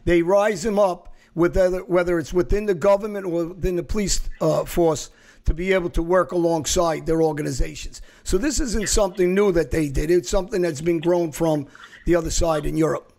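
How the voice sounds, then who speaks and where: A man's voice: fairly high pitch, steady tone, brisk, creaky, darkish tone. A man hosting an interview, talking bluntly and teasing his co-host. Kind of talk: casual interview talk.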